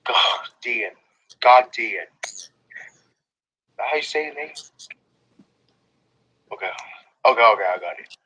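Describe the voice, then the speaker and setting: A man's voice: medium pitch, animated, measured pace, pauses often, pushed and bright, husky, low-quality recording. A man hosting a gaming video, playfully running a mock roll call over voice chat with crude teasing. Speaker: gaming channel host. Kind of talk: joking voice chat while role-playing a roll call.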